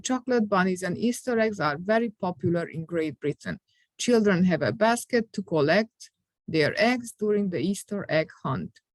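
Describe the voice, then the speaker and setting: Female ESL teacher, middle-aged, slow and patient, clear simple phrasing, close dry sound. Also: lowish voice, dry recording. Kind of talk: classroom instruction recorded for students.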